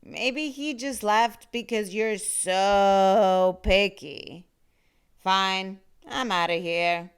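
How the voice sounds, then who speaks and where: A woman's voice: medium pitch, clean tone. A woman voicing a big, scruffy boy monster who slurps, whines and draws out words while trying to sound menacing. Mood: whiny, warning. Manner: slurping, drawn-out, whining.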